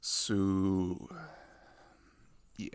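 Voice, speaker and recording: A man playing a friendly, chatty teenage migrant boy in an ASMR roleplay, talking casually and haltingly with pauses and sighs. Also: deep voice, level tone, clear, resonant tone, dry recording. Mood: easygoing, teasing. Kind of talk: ASMR roleplay, one-sided conversational acting.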